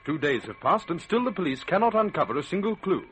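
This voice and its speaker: An actor as a radio announcer, narrating a mystery serial in a dramatic, emphatic old-time style. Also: male voice, high voice, very animated, quick pace, a little hiss or hum.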